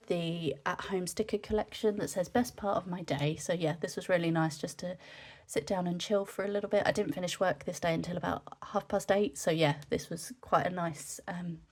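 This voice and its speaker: A young woman from the UK talks through her scrapbook pages in a relaxed, chatty voice-over, full of little ums. She has a cold, so her voice sounds a bit nasal, and it sits a touch low. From United Kingdom. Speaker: scrapbooking vlogger. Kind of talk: casual voice-over narration.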